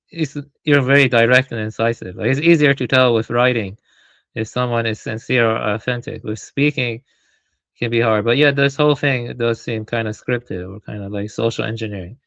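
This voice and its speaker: Hesitant male co-host, filler-heavy, thinking aloud, mid-pitched voice, dry close sound. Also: gravelly, fairly bright tone, no room sound, lo-fi sound. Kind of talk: casual discussion.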